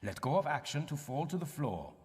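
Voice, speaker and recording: An actor playing a stern, condescending older archaeologist mentor in a video game, speaking formally and instructively. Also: male voice, on the high side, very animated, brisk, a little mellow. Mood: dry, instructive. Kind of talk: video game cutscene and tutorial acting. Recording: long cavernous reverb.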